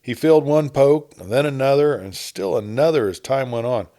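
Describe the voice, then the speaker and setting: A man reading an adventure story as a narrator, in a measured storytelling manner with dramatic emphasis. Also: medium pitch, mellow. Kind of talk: scripted dramatic audiobook narration.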